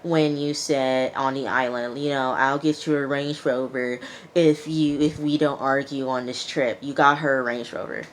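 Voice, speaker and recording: A woman's voice: extremely low pitch, fairly even intonation, moderate pace, a little hiss or hum. A woman giving a casual, conversational and opinionated reality TV recap, acting out what people said as she goes. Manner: conversational, opinionated, acts out quotes. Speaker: TV show reviewer.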